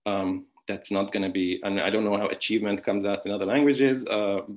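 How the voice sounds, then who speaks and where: Low-voiced Hungarian man giving a wry lecture. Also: steady tone, brisk. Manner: digressive, many restarts and fillers, wry asides. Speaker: philosophy lecturer. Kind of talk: academic conference talk. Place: online conference talk.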